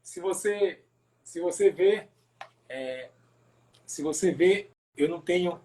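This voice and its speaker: A man from Pará with a northern Brazilian accent telling his personal testimony as a pastor in a casual interview, haltingly, repeating words and adding tag questions. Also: high-pitched, darkish tone. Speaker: pastor, podcast guest.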